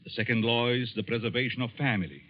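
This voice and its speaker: An actor playing a smooth, probing, menacing psychology professor in a 1940s radio thriller, deliberate and theatrical. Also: male voice, mid-range voice, lightly acted. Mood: insistent, persuasive. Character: smooth, menacing middle-aged psychology professor, coolly persuasive. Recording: dull vintage 1940s radio recording.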